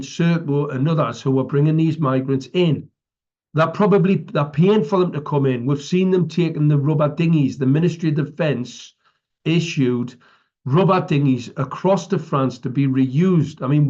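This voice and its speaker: A man's voice: a little high, normal speed, a little mellow. A man with a British accent giving an impromptu public address as an activist, emphatic and preaching, often checking in with short tags. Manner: emphatic, preaching, tags statements with "right" and "okay". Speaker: activist speaker. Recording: dull lo-fi audio.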